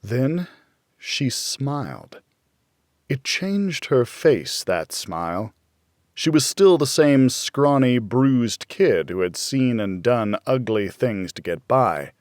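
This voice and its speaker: A man narrating a thriller audiobook in the first person, with a brooding hardboiled delivery and dramatic pauses. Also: fairly low pitch, very animated, darkish tone, dry recording, clean studio sound.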